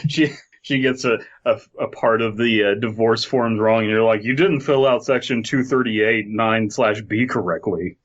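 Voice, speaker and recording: A man with a somewhat low-pitched voice. He riffs conversationally and playfully as a podcast co-host. The audio is a little dull. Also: normal speed. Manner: joking, conversational. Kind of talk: casual comedic riffing.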